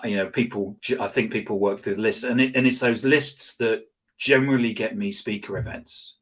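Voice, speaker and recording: Husky-voiced man telling stories. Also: lowish voice. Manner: rambling with frequent restarts and fillers; animated, laughing while telling anecdotes. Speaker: guest, social selling expert. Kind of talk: conversational interview storytelling.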